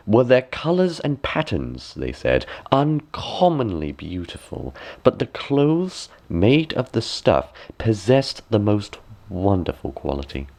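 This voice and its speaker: Deep-voiced, animated male storyteller. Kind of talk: expressive scripted fairy-tale narration.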